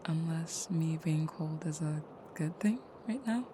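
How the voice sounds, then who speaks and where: A low-voiced vampire girlfriend played by a woman in an intimate audio roleplay. She murmurs softly, often slipping into whispers. Clear background noise sits behind her. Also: unhurried. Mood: tender, soothing. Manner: soft, breathy murmuring, often whispered, soothing. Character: female vampire girlfriend, protective and tender, menacing in nightmare.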